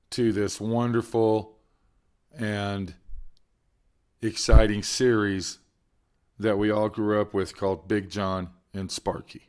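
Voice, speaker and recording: Slow, even-voiced male presenter. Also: fairly low pitch. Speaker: presenter introducing a compilation. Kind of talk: scripted introduction.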